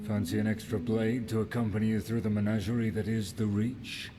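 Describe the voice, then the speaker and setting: An actor playing a roguish, wry axe-wielding mercenary in a fantasy game, talking in cheeky, colloquial banter. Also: male voice, little pitch movement, fairly quick, lightly acted. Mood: wry, teasing. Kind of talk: fantasy video game dialogue. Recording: noisy rumbling background.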